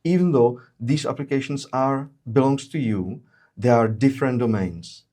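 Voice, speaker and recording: A man presenting a software webinar, explaining features with frequent hesitations and fillers. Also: mid-range voice, moderate pace. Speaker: webinar presenter, solution engineer.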